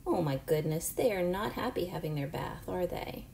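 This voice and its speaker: A woman in her forties reading aloud to young children in an animated, questioning, teacherly way. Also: lowish voice, normal speed, gravelly, roomy sound, a little hiss or hum. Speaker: children's storybook reader. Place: small home room, indoors.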